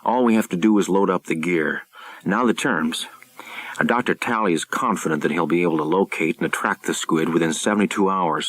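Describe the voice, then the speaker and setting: A man playing a wealthy creditor in an audiobook, coldly commanding, formal and measured. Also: low voice, fairly bright tone.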